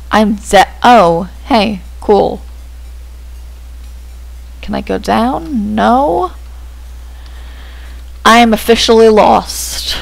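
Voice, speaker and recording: Casual let's play host, a man; unhurried, self-deprecating, voice breaking, gravelly fry. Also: high-pitched, rough recording. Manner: chatty, self-mocking jokes. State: voice cracking from hormone change. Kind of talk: casual gameplay commentary.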